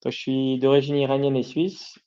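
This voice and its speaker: A man's voice: medium pitch, little pitch movement, mellow, highs cut off, slight background noise. A middle-aged man answering interview questions informally, often breaking off and rephrasing. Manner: informal, halting, self-correcting. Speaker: podcast guest, company founder.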